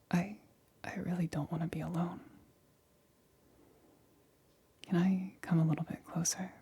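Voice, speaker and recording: A woman playing an anxious, lonely female lab assistant in an ASMR roleplay, whispering softly and intimately with halting, hesitant stammers. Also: fairly low pitch, exaggerated voice. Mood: lonely, clingy. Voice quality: breathy, whispered.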